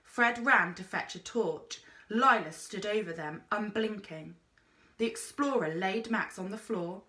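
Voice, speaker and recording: A woman reading a children's story aloud in an expressive narrating style, like a teacher. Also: mid-range voice, measured pace, echoey room.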